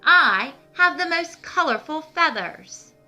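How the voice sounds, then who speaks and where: A woman's voice: high-pitched, expressive, takes it slowly, pushed and bright, soft background music, pitched above the performer's own voice, exaggerated voice. A woman voicing a vain, competitive female turkey in a storybook, speaking assertively. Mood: vain, insistent. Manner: assertive, insistent.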